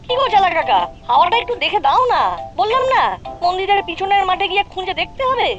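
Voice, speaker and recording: Mischievous little boy, animated pitch, cheeky, wheedling, cartoon delivery, dull sound, music behind. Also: quick pace. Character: mischievous, playful ten-year-old boy sidekick. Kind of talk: cartoon voice acting.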